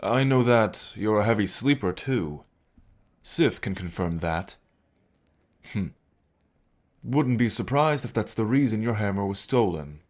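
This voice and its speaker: Man acting a low-voiced, sardonic hunter god. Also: expressive. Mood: needling. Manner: measured, sardonic. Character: composed, needling Norse god and hunter. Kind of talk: fantasy audio roleplay acting.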